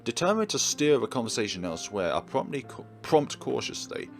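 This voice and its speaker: A man's voice: mid-range voice, very animated, a little fast, faint music behind. A man reading visual novel narration aloud and adding his own commentary as he plays.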